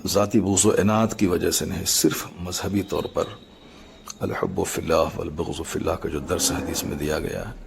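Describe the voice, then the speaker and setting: Very gravelly, low-voiced man. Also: level tone, slight background noise. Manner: formal, measured, halting in short phrases. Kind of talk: explanatory public statement.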